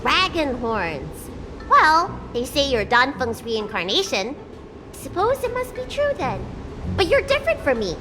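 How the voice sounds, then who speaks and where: High, pouty little dragon girl. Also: wildly swooping pitch, a little slow, noisy background, exaggerated voice. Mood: curious, frank. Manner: childlike, pouty, frank. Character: small, blunt, curious young dragon girl. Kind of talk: video game voice acting. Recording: game music behind the voice.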